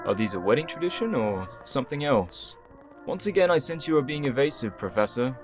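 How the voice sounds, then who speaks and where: Man acting an earnest gentleman doctor over music. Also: medium pitch, expressive, natural voice. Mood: sceptical. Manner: formal, measured phrasing. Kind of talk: radio play acting.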